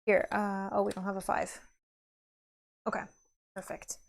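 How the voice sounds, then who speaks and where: A woman's voice: mid-range voice, flat delivery, unhurried, gravelly. A woman chatting casually as she plays a board game on a live stream. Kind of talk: casual live playthrough chat.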